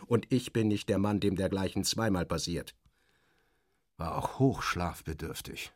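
A man's voice: fairly low pitch, no room sound, studio quality. An actor playing a sardonic, self-assured journalist, narrating his travels in a literary radio drama with wry, theatrical, clipped phrasing. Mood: dry, weary. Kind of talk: literary first-person narration, dramatic audio reading.

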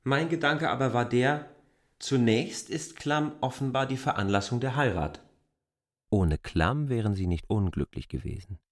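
A shrewd, persistent male newcomer in a radio play, pressing his case formally. His low voice moves in lively swings, close and dry. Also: dry recording. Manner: probing, formal, argumentative. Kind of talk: literary radio drama acting.

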